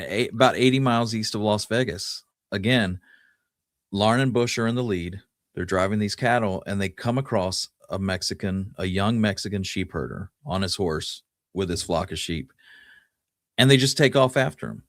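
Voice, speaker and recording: Male podcast storyteller: deep register, informal halting delivery, dry recording. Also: a bit bright, no room sound. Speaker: podcast host. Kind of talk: conversational storytelling of Western history.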